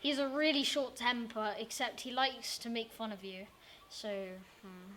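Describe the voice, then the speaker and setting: A woman plays a wary guide giving casual warnings. The voice is fairly high and sounds distant, with faint background noise. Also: unhurried, fairly bright tone. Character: wary guide warning visitors about Cheshire Cat. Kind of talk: casual in-character chat.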